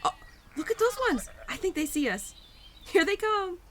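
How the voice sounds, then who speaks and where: A woman voicing a cheerful, playful young spirit of Spring in an audio roleplay, gentle and affectionate with a giggly, easily flustered manner. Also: very high-pitched, very animated, normal speed, a little hiss or hum. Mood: delighted, affectionate. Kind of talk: audio roleplay addressed to the listener.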